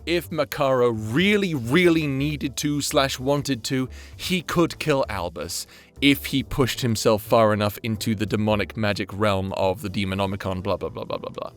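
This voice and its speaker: A man hosting a channel with casual, sweary, rambling commentary in an informal, chatty way. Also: mid-range voice. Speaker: creator and host of a fan-facing channel.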